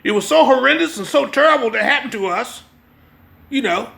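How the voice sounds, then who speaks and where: Male American preacher, forceful bright tone with creaky edge, urgent exhortations, off-the-cuff teaching. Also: very high voice, lively intonation, brisk. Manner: emphatic, exhortative, repeatedly checking listeners understand. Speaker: religious teacher. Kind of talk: impromptu sermon with brief reading.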